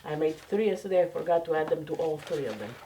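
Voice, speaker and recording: A woman's voice: sits low, expressive, quick pace, warm tone, recorded from a distance, a little hiss or hum. A woman with a slight cold that makes her a bit nasal, chatting casually through a sewing tutorial at home. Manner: chatty, self-deprecating, talks while working. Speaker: sewing vlogger. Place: home craft room.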